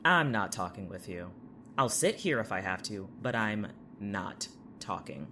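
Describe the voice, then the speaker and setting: Very low-voiced, sullen defiant woman. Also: expressive, measured pace, a bit bright, a little hiss or hum, full character voice. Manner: curt, sullen. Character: guarded, defiant, world-weary young woman. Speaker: voice actor in an audio drama. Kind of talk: audio drama acting.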